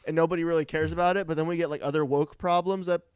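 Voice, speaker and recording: A man co-hosting a podcast in casual banter, informal and self-mocking, thinking out loud as he talks. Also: a little high, fairly even intonation, racing, clean tone, no room sound. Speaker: podcast co-host.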